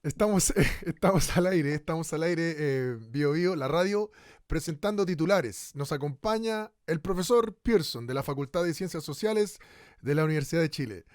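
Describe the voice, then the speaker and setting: A man acts a male radio host presenting a live broadcast in a radio play. His voice sits a little high, recorded dry, and he is polite and lightly amused with his guest. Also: lively intonation, moderate pace, no room sound, lightly acted. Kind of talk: radio drama played as a live broadcast interview.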